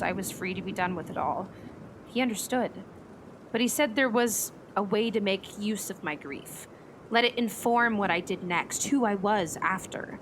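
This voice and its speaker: A woman's voice: medium pitch, lightly acted. Woman playing a torn, grieving lady meteorologist in a radio drama, thoughtful n hesitant, lots of background noise. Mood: grieving, reflective. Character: grieving, conflicted young woman storm-chasing meteorologist. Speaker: actor in a radio play. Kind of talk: naturalistic radio drama dialogue.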